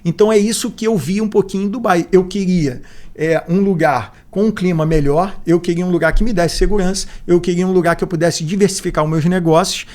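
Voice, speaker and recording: A man's voice: high-pitched, fairly quick, a little mellow. Reflective Brazilian man in his forties. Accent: Brazilian Portuguese. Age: 40s. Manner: reflective, repeats words as he thinks, frequent "né?". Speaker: guest. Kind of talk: podcast interview.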